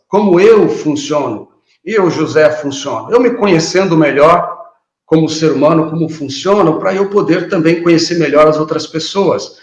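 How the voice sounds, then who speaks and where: Man, 50s, earnest guest lecturer, hesitant and reflective, slightly high voice, roomy video-call sound. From Paraná, Brazil. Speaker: guest speaker, behavioral trainer. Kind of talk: online lecture talk.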